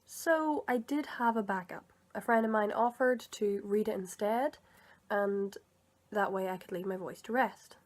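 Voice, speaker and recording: A woman's voice: mid-range voice, unhurried. A woman talking casually to her viewers in a vlog, chatty and rambling, correcting herself as she goes, and a bit sick. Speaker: video creator and comic dub reader.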